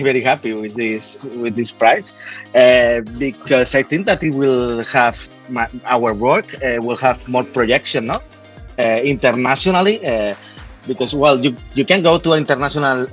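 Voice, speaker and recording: Hesitant man with a Spanish accent. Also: mid-range voice. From Spain. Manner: hesitant, with many fillers and tag questions. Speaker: postdoctoral researcher.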